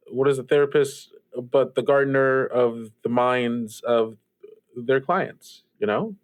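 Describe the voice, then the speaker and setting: A man's voice: mid-range voice, dry recording, natural voice. Earnest, hesitant eighteen-year-old played by a man, dry sound. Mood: tentative, encouraging. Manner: hesitant, polite, stumbling sympathetically. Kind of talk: improvised in-character roleplay for an actual play podcast.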